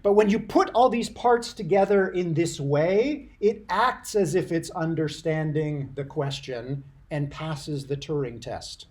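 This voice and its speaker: A man with a notably high voice for a male speaker gives a university lecture. He explains things deliberately, repeats points for emphasis and puts questions to his class. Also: a little mellow.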